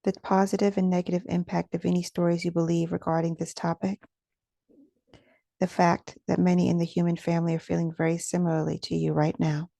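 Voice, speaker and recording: A woman leading a guided meditation as its teacher, speaking in a gentle, soothing, instructional manner with soft pauses. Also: lowish voice, little pitch movement, vocal fry, no room sound.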